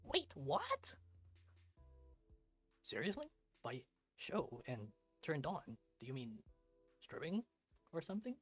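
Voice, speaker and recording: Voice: mid-range voice, huge pitch swings, takes it slowly, long pauses, a bit bright, slightly rough, soft background music, voice pushed up, exaggerated voice. A performer voicing a shy young male drama student who gets flustered and indignant, in a visual novel.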